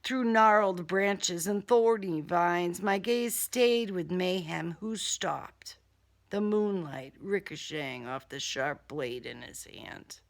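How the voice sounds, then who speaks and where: A woman author reading her own first-person thriller dramatically, with suspense and short, deliberate phrases. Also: on the low side, vocal fry.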